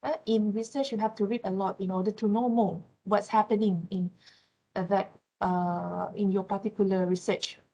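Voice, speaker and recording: A woman's voice: medium pitch, steady tone, moderate pace, mellow, rough recording. Malaysian woman lecturing with tag questions. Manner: frequent "uh", tag questions "right?" and "yeah?". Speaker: university lecturer. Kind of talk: classroom lecture.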